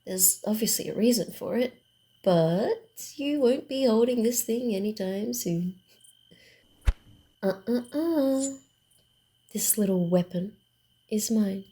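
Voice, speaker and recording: A woman voicing a sly, guarded, secretive female vampire in a hushed, theatrical and deliberate ASMR roleplay style. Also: mid-range voice. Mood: sly, ominous.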